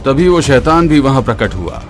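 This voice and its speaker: Male horror narrator over loud music. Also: normal speed. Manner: steady, dramatic storytelling, drops low at grim moments. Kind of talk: scripted horror story narration.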